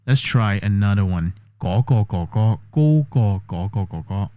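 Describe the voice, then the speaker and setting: A man's voice: lowish voice, lively intonation, a little slow, warm tone, clear, resonant tone, no room sound. A man vlogging a test of an AI voice assistant, explaining step by step and thinking aloud. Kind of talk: casual tech demo commentary.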